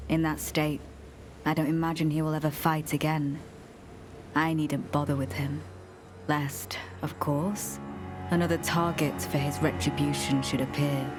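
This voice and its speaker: A low-voiced, devout knight, coldly resolute. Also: female voice. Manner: formal, measured, archaic diction. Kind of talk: dramatic fantasy game acting. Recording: loud music behind the voice. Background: game music.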